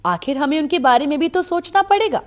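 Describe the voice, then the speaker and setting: A woman's voice: high voice, lively intonation, a little fast, pitched above the performer's own voice. A woman voicing a practical, persuasive village wife in a storybook tale. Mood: worried, pleading. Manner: earnest, persuasive. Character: worried, practical village wife urging her husband. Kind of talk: storybook character dialogue.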